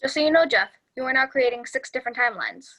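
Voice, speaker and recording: A woman's voice: sits high, expressive, quick pace, pushed and bright, full character voice, poor audio. A woman playing a matter-of-fact, geeky young man in an amateur sitcom table read. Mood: knowing.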